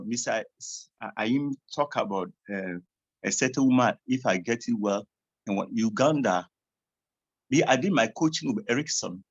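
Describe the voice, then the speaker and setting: A man's voice: mid-range voice, dull audio. A French-speaking African man, a certified coach, talking hesitantly on a video call with a French accent. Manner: hesitant, with fillers and restarts.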